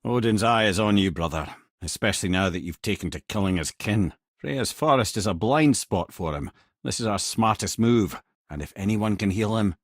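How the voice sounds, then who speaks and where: Old scottish know-it-all talking head from a video game, witty storyteller, really animated pitch, dry studio sound. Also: male voice, no room sound. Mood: advising. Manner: wry, eloquent, colloquial storyteller. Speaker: companion and lore-teller.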